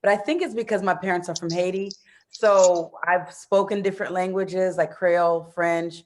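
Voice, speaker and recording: An American woman in her thirties chatting in an interview, animated, laughing often and using plenty of fillers. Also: lowish voice, moderate pace. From United States. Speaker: interview guest, expat in Brazil. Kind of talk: casual interview chat.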